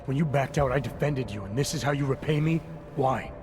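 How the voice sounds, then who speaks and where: A man voicing a stoic, gruff covert agent in a video game, terse and deadpan. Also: medium pitch, fairly quick, music in the background, natural voice. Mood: stern.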